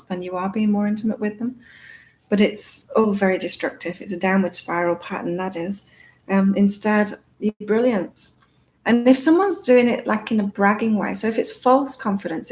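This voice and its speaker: A woman hosting a live psychic card-reading chat with a calming voice, talking in a rambling, reflective way with many fillers. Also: mid-range voice. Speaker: psychic reader and live-stream host. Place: in Thailand.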